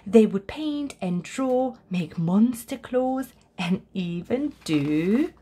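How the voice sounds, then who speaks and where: A woman reading a children's picture book aloud as a storyteller, in an expressive, deliberate way. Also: medium pitch, husky. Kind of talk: scripted children's story narration.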